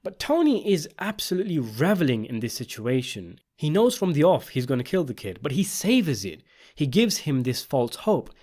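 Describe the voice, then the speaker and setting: A man's voice: a little high, sing-song, normal speed, darkish tone. A man narrating a scripted video essay, giving opinionated commentary on a television drama.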